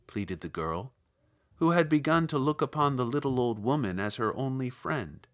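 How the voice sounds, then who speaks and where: A man reading a children's classic aloud as narrator, expressive and unhurried. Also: mid-range voice. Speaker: audiobook reader. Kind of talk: scripted storybook narration.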